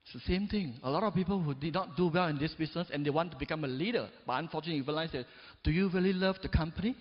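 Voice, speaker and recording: A man in his forties delivers an emphatic motivational speech, swinging between loud peaks and low drops. His voice sits a little high for a man. The sound is dull and washed in the long echo of a big hall. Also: racing. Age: 40s. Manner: peppers talk with "right?" and "yes or no?" to the audience. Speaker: motivational speaker.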